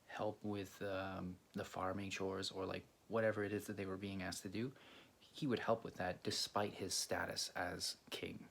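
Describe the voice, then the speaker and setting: Low, gravelly man telling stories casually. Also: slight background noise. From Greece. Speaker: video host. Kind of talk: casual storytelling to camera or viewers.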